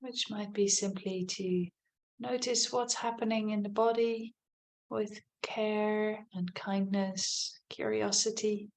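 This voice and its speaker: Casting: female meditation guide, mid-range voice, gentle and unhurried, softly inviting delivery with spacious pauses for a body scan practice. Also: medium pitch, fairly even intonation. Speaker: meditation teacher.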